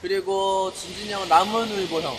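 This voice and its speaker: A playful young man gives short replies as a guest. His voice sits high, and loud ambient noise surrounds him. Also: steady tone, a little fast. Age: young adult. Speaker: guest idol singer. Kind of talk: casual interview chat.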